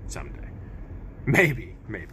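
A playful man in his thirties chats excitedly while digging through boxes, teasing whoever is behind the camera. His voice is picked up by a phone held at arm's length in a big warehouse, with a steady hum of noise behind him. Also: slow. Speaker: host. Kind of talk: casual unboxing chat. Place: large indoor storage warehouse.

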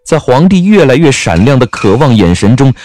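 A man narrating a scripted story in a wry, expressive manner. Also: medium pitch, a little fast, soft background music.

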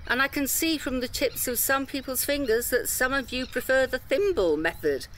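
A woman's voice: sits high, expressive, normal speed, fairly bright tone, slight background noise. A woman playing a polite, patient tour guide giving instructions to day trippers in an audio drama. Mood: polite, reassuring. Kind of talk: audio drama acting, public briefing.

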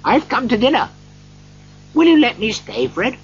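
A narrator acting an exuberant old miser, pitched up. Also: very animated, moderate pace, husky, muffled recording, full character voice. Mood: warm, earnest. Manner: exuberant, eager, effusive. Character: elderly miser turned giddy, joyful, generous.

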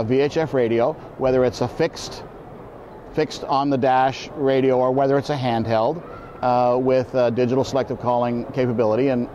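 A man, explaining things informally as an expert interview guest in a halting way, repeating words. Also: medium pitch, warm tone. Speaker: boating program manager, expert guest. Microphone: lapel mic. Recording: slightly dull, faint background noise.

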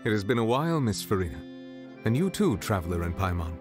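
An actor voicing a courteous, reserved dignitary in an anime game, formal and polite. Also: male voice, fairly low pitch, very animated, fast talker. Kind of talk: anime video game voice acting. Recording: background music under the voice.

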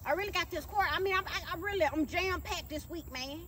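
A slick street lawyer acted by a woman with a very high voice, full of evasive, repetitive hustler patter. It is a comedy skit, caught outdoors at a distance from the mic over noisy ambience. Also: a little fast. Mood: harried, evasive. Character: shady, slick, fast-talking self-styled male street lawyer. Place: outdoors beside a shipping container. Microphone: phone camera from a few meters away. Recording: distant outdoor phone audio with noise.

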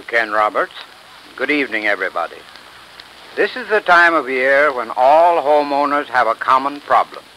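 Bright-voiced American radio spokesman. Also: medium pitch. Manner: formal, clearly scripted. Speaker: advertising spokesman, heating expert. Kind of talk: 1930s radio sponsor pitch. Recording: noisy 1930s radio recording.

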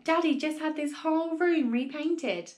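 A woman voicing an excitable, alarmed young boy in a children's storybook, speaking urgently. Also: high-pitched, unhurried, voice pushed up. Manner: urgent, exclamatory.

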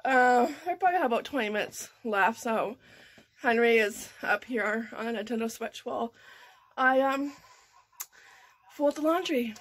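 A woman in her thirties from Canada, chatting casually at home in a rambling way, full of fillers and soft laughs. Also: on the high side, expressive, normal speed, pauses often. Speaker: vlogger. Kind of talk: casual morning coffee chat.

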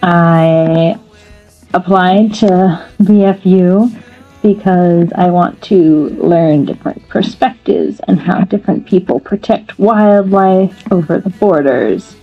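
A young American woman speaks slowly and earnestly in a lowish voice. The webcam audio is slightly dull, with soft music behind. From United States. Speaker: student. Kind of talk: self-introduction.

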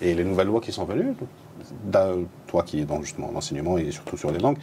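A man's voice: sits low, very animated, fast, background noise. A man in his forties with an Algerian accent, hosting a podcast interview, mixing French with Arabic. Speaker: podcast host. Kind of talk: casual interview. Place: small studio room, seated at a table. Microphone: lapel mic.

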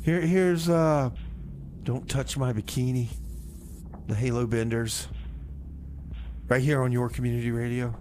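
A man's voice: mid-range voice, steady tone, measured pace, pauses often. A man hosting community radio, chatting as a DJ and bantering in comedy bits. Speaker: radio show host. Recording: clearly noisy, with clicks.

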